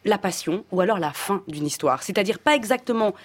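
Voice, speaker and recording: A woman's voice: mid-range voice, expressive, brisk. A woman columnist presenting a book review on a live radio show in a playful, lightly teasing way.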